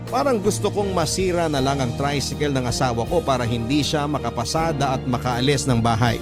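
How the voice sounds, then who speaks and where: A man with a medium-pitched voice narrates a scripted, dramatic first-person radio story. Music plays clearly behind him throughout. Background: background music.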